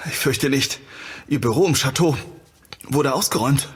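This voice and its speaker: An actor playing an anxious, deferential military subordinate in a war game, raspy and breathy. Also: male voice, medium pitch, moderate pace. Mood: uneasy deference.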